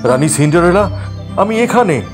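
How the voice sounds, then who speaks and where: A man's voice: sits high, lively intonation, moderate pace, over music. A man playing an earnest, indignant young fairy prince in a cartoon, speaking with urgency. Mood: alarmed. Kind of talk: fairy-tale cartoon acting.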